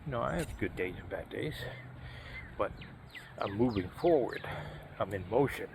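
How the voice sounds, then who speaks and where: A man in his fifties talking casually to camera, halting and full of fillers and restarts. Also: fairly low pitch, lively intonation, vocal fry, background noise. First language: English. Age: 50s. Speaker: vlogger. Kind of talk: casual personal talk to camera.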